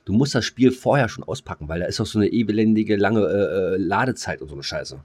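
Male podcast co-host. Lowish voice, rapid delivery, rambling slangy banter with crude edges. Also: darkish tone.

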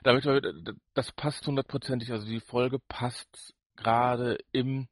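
A man's voice: mid-range voice, level tone, unhurried, no room sound. A man with a German accent co-hosting a podcast in a blunt, colloquial, opinionated way, often repeating himself. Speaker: podcast co-host. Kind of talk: casual opinionated chat.

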